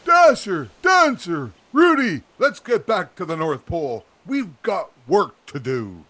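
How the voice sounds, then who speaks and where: A man's voice: high voice, sing-song, takes it slowly, fairly bright tone, no room sound, exaggerated voice. A man playing a jolly, stubborn old Santa Claus in a comedy audio drama, with a grand, theatrical manner. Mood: jolly resolve.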